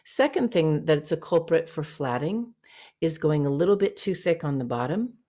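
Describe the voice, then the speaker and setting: A woman vocal coach with a fairly low voice, teaching an online singing master class and breaking off to sing short demonstration notes. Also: expressive, moderate pace, dark tone. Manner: explains briefly, then sings short demonstration notes. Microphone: video call.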